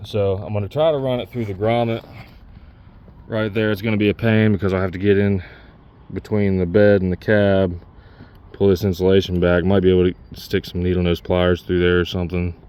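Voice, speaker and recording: Low-voiced man giving a casual hands-on walkthrough. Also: level tone, brisk, darkish tone, smooth. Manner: plain-spoken, pointing things out as he works. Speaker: DIY vlogger demonstrating a truck CB radio install.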